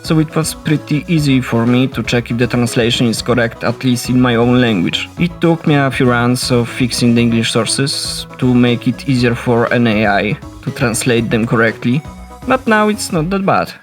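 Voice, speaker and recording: A man from Poland, a native Polish speaker with a Polish accent, narrating a devlog about his game in a steady, explanatory way. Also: mid-range voice, moderate pace, faint music behind. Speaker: solo indie game developer.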